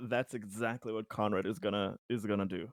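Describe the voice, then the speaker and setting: Halting, amused man at a tabletop game. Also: on the low side, steady tone, dry recording, studio quality. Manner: hesitant, stop-and-start phrasing, easily amused. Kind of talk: casual improvised game chat.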